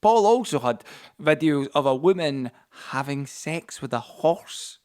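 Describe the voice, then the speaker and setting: A man's voice: a little high, animated, a little slow, darkish tone, smooth. An actor playing a young Scottish man who tells a story in a confiding, colloquial way, as a dramatic monologue in an audio play. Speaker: actor-narrator. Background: music score.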